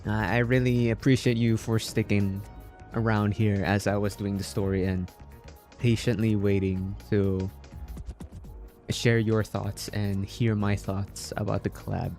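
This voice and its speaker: A man from the Philippines chatting casually on a livestream, halting and repeating his words, polite and appreciative. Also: on the low side, little pitch movement, darkish tone, faint music behind. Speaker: game streamer. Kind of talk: casual livestream chat with viewers.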